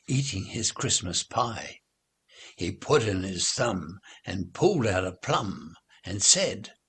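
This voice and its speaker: A man reciting children's nursery rhymes in an expressive, theatrical, playful way. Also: on the low side, breathy edge. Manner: slow, theatrical, playful delivery.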